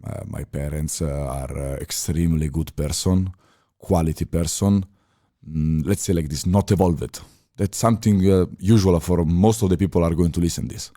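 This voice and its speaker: Italian man, deep voice, halting English. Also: dark tone. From Italy. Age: 30s. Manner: halting non-native phrasing with many fillers and restarts. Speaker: podcast guest, former chef. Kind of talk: casual interview talk.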